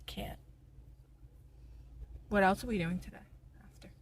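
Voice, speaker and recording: A hoarse woman chatting casually to camera in a rambling, self-mocking way. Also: medium pitch, steady tone, a little slow. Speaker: vlogger. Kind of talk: casual vlog chat. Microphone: camera on a stand.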